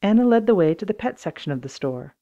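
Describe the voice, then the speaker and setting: A woman's voice: medium pitch, very animated, fast talker, mellow, muffled recording. A woman reading scripted children's story narration as an audiobook reader.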